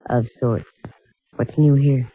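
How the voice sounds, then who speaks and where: An actress playing a brisk, wry female private investigator in a 1950s radio detective drama. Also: a bass voice, steady tone, slight background noise. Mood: dry, narrating. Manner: snappy, dry, hard-boiled. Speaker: detective and narrator. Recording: muffled old radio broadcast, music behind.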